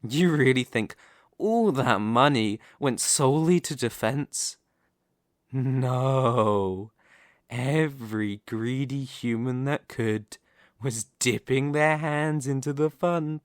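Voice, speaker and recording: A dominant Demon King played by a man speaks at a slow, deliberate pace in a mid-range male voice. He is smug, mocking and theatrical, coaxing his listener like a villain sure of winning. It is an ASMR roleplay monologue, recorded close and very dry. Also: medium pitch, dry recording, close to an everyday voice. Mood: cynical, taunting.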